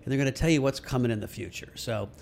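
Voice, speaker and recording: A man hosting a relaxed interview show, upbeat and laughing easily with his guests. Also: medium pitch, fast, gravelly. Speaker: host. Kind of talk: casual interview.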